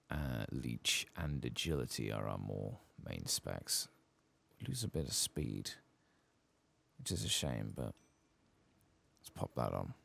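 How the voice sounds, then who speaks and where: Very deep, gravelly, soft-spoken man. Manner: hushed, unhurried, murmuring aloud to himself. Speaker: game streamer, ASMR host. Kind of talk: soft-spoken ASMR gameplay commentary.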